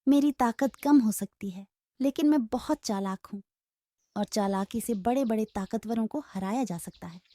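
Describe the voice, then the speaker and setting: A woman's voice: a little high, normal speed, warm tone, no echo, clean studio sound, close to an everyday voice. A woman voicing a tiny, clever, fearless female bird in a children's story, confident and challenging. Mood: bold, challenging.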